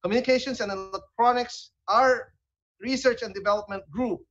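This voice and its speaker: Male host, Filipino accent, very high voice, formal introductions, dull video-call sound. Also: steady tone, moderate pace, a bit bright. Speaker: talk show host. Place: indoor room, on a video call.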